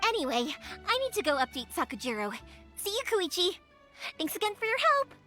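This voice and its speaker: A woman playing a cheerful, chatty young fireworks maker in a video game, with a breezy, animated way of talking. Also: very high voice, fairly quick, exaggerated voice. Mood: warm, earnest. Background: faint game music.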